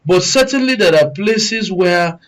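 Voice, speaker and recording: A man with a Nigerian accent speaks as a serious panel commentator. His voice is a little higher than average, and he builds his points deliberately, with emphatic, oratorical phrasing. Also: expressive, low-quality recording. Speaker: guest commentator, good governance advocate.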